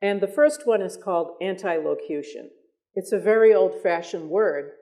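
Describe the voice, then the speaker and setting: An older man, a retired professor and moderator, explaining in a measured, lecturing manner. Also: high-pitched, lively intonation, mellow. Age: 60s or older.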